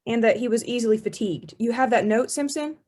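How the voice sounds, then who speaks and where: A woman's voice: a little high, fast, a little mellow, natural voice. A young woman playing a suspicious male police inspector in a radio play, asking clipped, methodical questions in a formal way. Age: young adult. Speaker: student actor.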